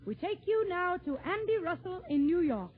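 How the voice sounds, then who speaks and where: High-pitched vintage radio hostess. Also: female voice, sing-song, normal speed, slight background noise. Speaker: show host. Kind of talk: 1940s radio announcing, scripted. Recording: muffled old 1940s radio broadcast.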